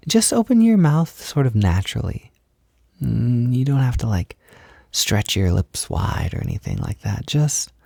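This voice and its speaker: A gentle, encouraging boyfriend figure, played by a man in an intimate audio roleplay. His soft voice is relaxed and mellow with a noticeable creak, often easing toward a whisper. He phrases things casually and soothingly, in a very dry, close recording. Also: fairly low pitch, dry recording, heavily acted. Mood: tender, reassuring. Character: gentle, reassuring male partner doing a beginner's makeup. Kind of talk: intimate ASMR-style roleplay, softly spoken.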